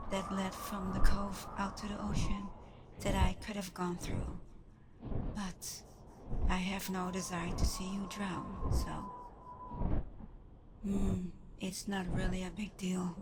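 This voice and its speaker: A woman voicing a proud, guarded but softening female water dragon in an ASMR roleplay, speaking softly and teasingly with breathy murmurs. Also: mid-range voice, natural voice. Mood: candid, guarded. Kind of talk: ASMR roleplay, scripted character monologue. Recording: clear background ambience noise.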